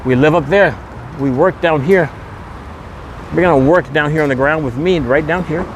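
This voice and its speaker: A man's voice: on the high side, moderate pace, rough recording. A man narrating a walking vlog outdoors into a handheld camera, repeating promotional catchphrases as the host. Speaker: vlogger and host. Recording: loud outdoor street traffic noise. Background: traffic and vehicle noise.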